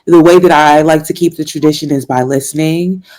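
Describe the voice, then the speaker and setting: A woman's voice: deep voice, fairly even intonation, fairly quick, a little mellow, poor audio. A young woman from Washington, D.C., a jazz singer answering interview questions in an earnest, faith-filled way, with fillers and laughs. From Washington, D.C., United States. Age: young adult.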